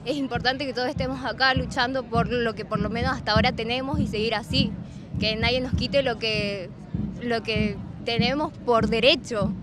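A woman's voice: a little high, steady tone, moderate pace, very noisy. A young woman student speaks sincerely into a handheld mic in an outdoor plaza. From Argentina. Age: young adult. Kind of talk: street interview. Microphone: handheld reporter mic.

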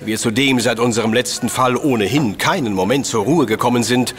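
An actor playing a pompous, lecturing professor in a radio drama, self-assured and commanding, with ornate formal phrasing. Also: male voice, medium pitch, a little fast, lightly acted. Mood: formal, composed. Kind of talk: scripted radio drama acting.

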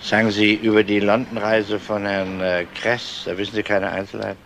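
An actor playing a calm police detective who asks short, probing questions in a crime radio drama. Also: male voice, fairly low pitch, normal speed, gravelly, slight background noise. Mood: probing, courteous. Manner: terse, questioning.

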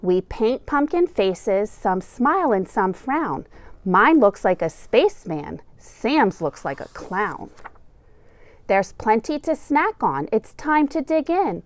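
Woman reading a picture book aloud, higher-pitched. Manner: deliberate, expressive storytelling with emphasized words. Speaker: second grade teacher reading aloud.

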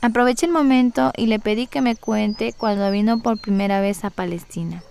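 A woman's voice: medium pitch, moderate pace, vocal fry, a little mellow, slight background noise. Woman, radio narrator, scripted first-person story, faint nature ambience.

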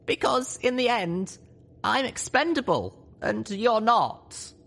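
An earnest young mare from a storybook reading, performed by a man. The voice is pitched very high and sounds gentle and selfless. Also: lively intonation, slow pace, gravelly, full character voice. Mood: sad, resigned. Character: Littlepip, young female pony, earnest, selfless, tearful heroine.

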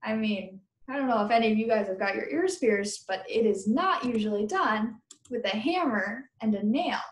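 An American woman in her thirties reading a children's book aloud as a teacher, pausing to explain and ask listeners questions in simple words. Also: a little high. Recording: roomy, echoing webcam recording.

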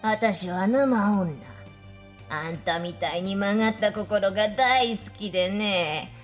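A woman's voice: medium pitch, slow pace, faint music behind, close to an everyday voice. An actress playing a sinister old swamp witch who gloats, in a radio drama. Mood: gloating.

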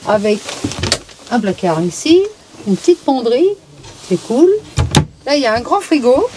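A woman's voice: fairly high pitch, sing-song, normal speed, background noise. A woman chatting cheerfully as she points out the features of a camper van, recorded inside the van. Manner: cheerful, chatty, listing features with small words. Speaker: vlogger touring a camper van. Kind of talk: casual walkthrough commentary.

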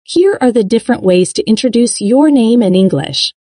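A woman narrating a scripted English lesson in a clear, upbeat, instructional way. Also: on the high side, expressive, normal speed, dark tone, no room sound, studio quality.

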